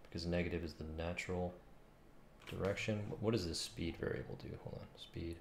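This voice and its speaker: A man with a very low voice talks himself hesitantly through coding in a casual mutter. His voice has a creaky fry, and the room sounds roomy, with noise behind him. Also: fairly even intonation, a little fast. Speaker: game developer streaming. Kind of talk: casual thinking aloud while coding. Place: indoor room at a computer. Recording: roomy with clear background noise. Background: keyboard typing and clicks.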